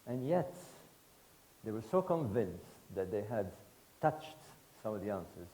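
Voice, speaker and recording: Older guy lecturing, egypt-born, deepish warm voice, punchy short phrases. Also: rough recording. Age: 60s. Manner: emphatic and rhetorical, short phrases with pauses. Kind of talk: public lecture to an audience.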